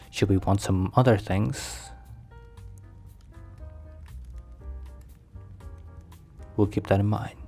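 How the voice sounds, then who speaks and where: A man acts out an overprotective mafia boss in an ASMR boyfriend roleplay. His voice sits on the low side, with formal, careful phrasing and halting pauses as he attends to his partner. Music plays behind him. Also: mellow. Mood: formal, composed.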